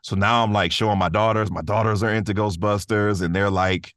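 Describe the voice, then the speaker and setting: A man with a low voice talks casually as a podcast guest. He rambles with enthusiasm and drops in plenty of conversational tags, in a dry, close recording. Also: fairly even intonation, a little fast, a bit bright, no echo. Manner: rambling, enthusiastic, peppers talk with "man" and "you know".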